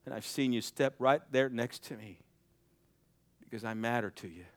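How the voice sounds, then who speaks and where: A young male preacher with a smooth, resonant voice, recorded close and dry. He climbs to soaring, almost shouted peaks of exhortation, then drops to a low, hushed, intimate tone to drive his point home. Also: normal speed, no echo. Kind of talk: sermon.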